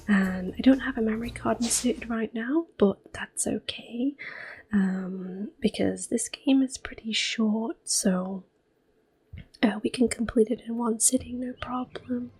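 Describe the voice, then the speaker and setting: A woman speaks in a hushed, breathy voice that often slips into a whisper, at a slow and gentle pace. She is hosting an ASMR video game playthrough in a soft-spoken style, with music quietly playing behind her. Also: medium pitch, rough recording. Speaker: gaming video host.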